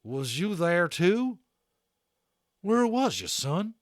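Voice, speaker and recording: A folksy old-West cowboy for a storybook. The drawl is high and loud, full of colorful exclamation, swooping widely. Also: male voice, sing-song, slow, dry recording, studio quality, exaggerated voice. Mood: astonished.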